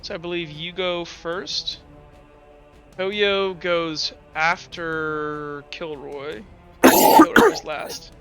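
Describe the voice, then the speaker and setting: A young man running a tabletop game as game master, narrating scenes and explaining rules in a halting way with many fillers and restarts. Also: a little high, fairly bright tone, soft background music.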